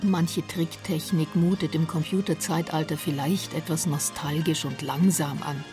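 A woman reading scripted narration for a radio documentary, measured and softly spoken, over a music bed. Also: low-pitched, fairly even intonation.

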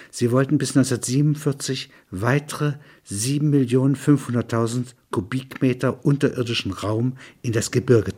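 Male documentary narrator, raspy medium-register voice, descriptive and factual scripted read. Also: medium pitch, darkish tone.

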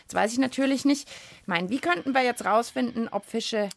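A woman's voice: on the high side, fairly quick, gravelly. A woman hosting a children's science podcast, explaining and asking questions in playful banter addressed to young listeners.

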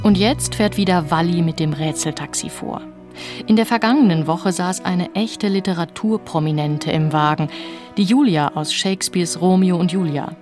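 A woman presents on the radio in a scripted style. Her pitch is a little low, and music plays clearly behind her words. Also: normal speed.

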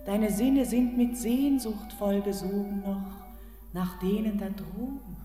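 A woman gives voice to an otherworldly spirit queen of the mine, luring someone in a hushed, coaxing tone. Her mellow voice moves slowly. Heavy echo and long reverb surround her over quiet music. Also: medium pitch, lightly acted.

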